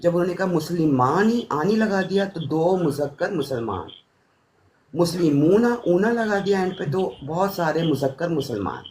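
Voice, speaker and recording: A woman's voice: on the low side, sing-song, normal speed, darkish tone, a little hiss or hum. A woman teaching grammar in an informal chatty way, slipping in English phrases. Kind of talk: informal online lesson chat.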